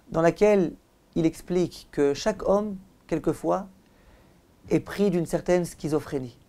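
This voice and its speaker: A man's voice: high voice, a little mellow. A man speaking as a rabbi giving a religious lecture, emphatic and repetitive, addressing his listeners directly with rhetorical questions. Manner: emphatic, repeats phrases for effect. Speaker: rabbi giving a Torah lesson.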